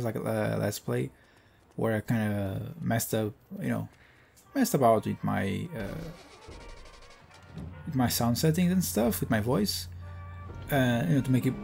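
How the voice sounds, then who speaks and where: A young man hosting a let's play, chatting casually and rambling with many fillers; his voice is bassy. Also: gravelly, a little mellow. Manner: rambling, hesitant, filler-heavy. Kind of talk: casual gameplay commentary.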